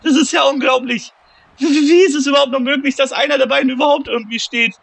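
A man with a cold, commentating a video game wrestling tournament, shouting at the big moments and calmer between them. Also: fast, gravelly, dry recording. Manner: shouts and gets high-pitched at big moments, calmer when tallying scores. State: has a cold, slightly hoarse. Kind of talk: excited play-by-play commentary.

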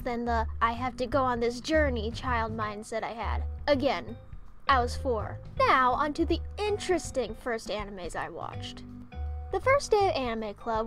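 A young woman with a high voice narrates a storytime video. Her delivery is expressive and theatrical, like a vlogger telling personal stories to viewers. Music plays behind her. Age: young adult. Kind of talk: animated storytime vlog narration.

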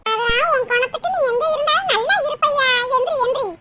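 Shrill southern Tamil mother-in-law. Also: quick pace, very noisy. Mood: fond, fussing. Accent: southern Tamil Nadu. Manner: sarcastic, rustic scolding. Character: nagging, loudly scolding mother-in-law, doting on her son.

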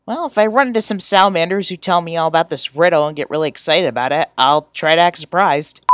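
A woman's voice: on the low side, quick pace, no room sound, clean studio sound, natural voice. A woman voicing a goofy, earnest teenage boy from a webcomic, playful and exclamatory, in an amateur character reading. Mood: excited, teasing.

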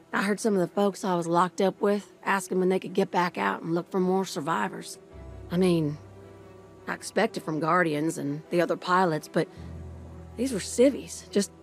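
A woman playing a plucky, warm-hearted pilot in a video game, talking in a casual, folksy, chatty way. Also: on the low side, close to an everyday voice.

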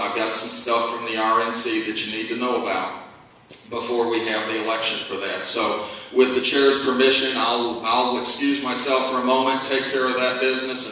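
A man's voice: mid-range voice, sharp tone, a little hiss or hum. A middle-aged man, chairing a party convention from the stage with folksy joking asides. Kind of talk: convention speech. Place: large hall with stage. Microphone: handheld mic through PA, camera far off. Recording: echoey hall from far off.

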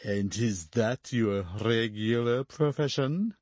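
A woman voicing a haughty, patronizing nobleman who speaks in a pompous, drawn-out way. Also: a bass voice, darkish tone, no room sound, voice pushed down. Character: haughty young Spanish duke, patronizing, stiff.

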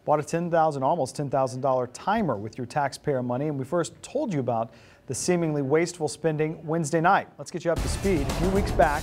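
A man's voice: on the high side, a little mellow. An American man in his thirties reading scripted news as a TV anchor. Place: TV news studio. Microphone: lapel mic.

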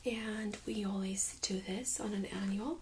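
A young woman speaking in a soft, breathy near-whisper, gently playing a medical examiner in an ASMR role-play. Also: medium pitch, fairly even intonation, background noise. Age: young adult. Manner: gentle, unhurried, reassuring. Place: small carpeted exam room, indoors. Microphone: USB microphone on a stand nearby.

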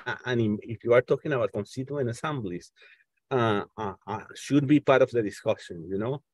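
Male panel host, middle-aged, Venezuelan Spanish accent, halting and repetitive delivery, mid pitch, dry earphone-mic sound. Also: no echo. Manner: halting, stammering repetitions as he searches for words. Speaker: host of an online panel discussion. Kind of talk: informal political discussion. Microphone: earphones on a video call.

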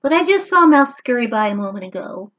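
A tiny fly with a high, chirpy little voice that hurries along, as a woman puts it on in a storybook. It sounds breathy and muffled, as if on a lo-fi recording. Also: very animated, fairly quick, a bit bright. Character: tiny helpful fly, male.

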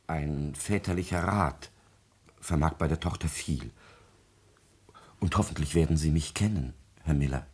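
A man playing a smug, self-important, scheming secretary and unctuous suitor in a 1950s German radio drama, with a husky voice. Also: extremely low pitch, measured pace, pauses often, darkish tone, exaggerated voice. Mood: offended, insistent. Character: smug, scheming male secretary courting a musician's daughter.

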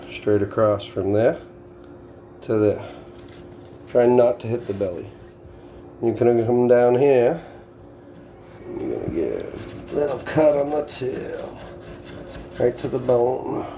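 A man's voice: medium pitch, mellow, dull audio, slight background noise. Plainspoken Boston man teaching in a kitchen. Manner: plainspoken, instructive, talking while working with his hands. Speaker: home cook demonstrating fish filleting. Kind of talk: casual step-by-step how-to. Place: home kitchen, indoors. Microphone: camera on the counter.

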